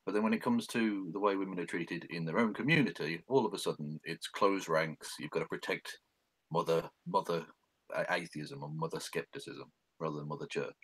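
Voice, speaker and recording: A man's voice: low-pitched, a little fast, a bit bright, slightly rough, no room sound, poor audio. A man co-hosting a casual discussion, giving opinions in a blunt, sardonic way. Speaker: occasional co-host.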